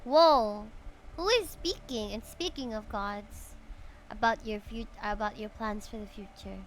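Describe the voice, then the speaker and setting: A woman's voice: mid-range voice, measured pace, slight background noise, low-quality recording. A woman, chatting casually and reading game dialogue aloud on a livestream. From Philippines. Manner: chatty, reacts with exclamations.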